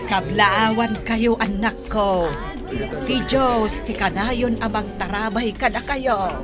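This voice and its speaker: Slow gentle older mom, ilocano accent, lady acting it radio drama with loud music under her. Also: mid-range voice, lightly acted. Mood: gentle, caring. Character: Nana Magda, gentle, blessing older mother.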